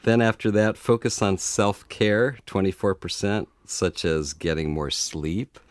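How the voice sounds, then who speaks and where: A man's voice: fairly low pitch, fairly even intonation, unhurried, no echo. A middle-aged man with an American accent co-hosting a talk show in a casual, conversational, agreeable way. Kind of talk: casual chat.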